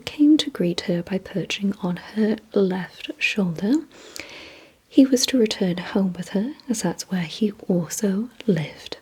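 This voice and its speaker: A woman whispering an ASMR roleplay as a composed, commanding vampire, giving slow, deliberate, coaxing instructions in a breathy voice. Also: mid-range voice, warm tone. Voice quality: breathy, mostly unvoiced whisper. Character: composed, commanding female vampire quizzing her listener. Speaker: ASMR performer.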